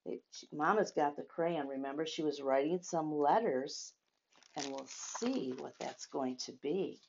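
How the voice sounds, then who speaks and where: A woman teaching and reading a story aloud to young children, speaking in simple, deliberate phrases and asking them questions. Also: low voice, creaky, darkish tone, lo-fi sound, a little hiss or hum.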